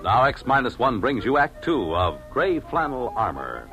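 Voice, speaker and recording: A man's voice: medium pitch, sing-song, moderate pace, a bit bright, soft background music. A man with an American accent reading scripted announcements and appeals as a 1950s radio announcer. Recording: vintage 1950s radio broadcast.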